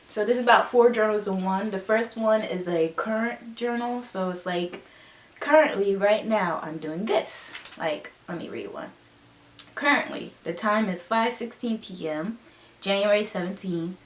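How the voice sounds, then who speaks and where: A woman's voice: medium pitch, unhurried. Chatty young woman in an echoey room. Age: 20s. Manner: chatty, upbeat, rambling. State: stuffed-up nose. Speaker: vlogger. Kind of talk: casual show-and-tell chat. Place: her bedroom.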